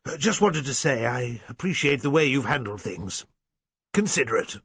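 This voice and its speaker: A man voicing a shaken, proud old English squire in an audiobook novel, gruff, halting and old-fashioned. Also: mid-range voice, sing-song, fairly bright tone, no room sound, full character voice. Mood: halting, shamed.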